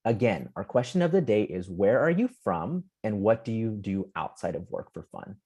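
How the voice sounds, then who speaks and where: A man's voice: on the low side, big pitch swings, moderate pace, dark tone, husky. A man hosting an online panel in a casual, chummy way, putting questions to guests. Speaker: host of an online community panel call.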